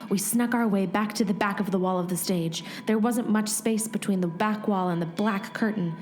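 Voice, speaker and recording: Female actor as scatterbrained, chatty heroine narrating a radio comedy adventure, music clearly underneath. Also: medium pitch, fairly even intonation, normal speed, a little mellow, close to an everyday voice. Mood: hushed storytelling. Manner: chatty, comic, self-interrupting. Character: scatterbrained young woman narrating her secret mission. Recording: music behind the voice.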